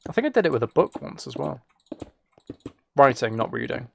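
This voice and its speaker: A man with a creaky, mid-pitched voice talks casually through his gameplay. He rambles as he thinks aloud, with a playful, self-mocking streak. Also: very animated, fairly quick, fairly bright tone, a little hiss or hum.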